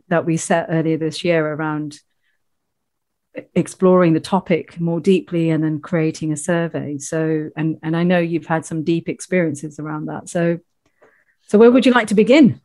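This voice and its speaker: A woman with a somewhat low voice hosts the conversation. She speaks quietly and in a measured way as she sets up her questions, restarting a phrase now and then. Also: fairly even intonation. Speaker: podcast host. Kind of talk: interview questions.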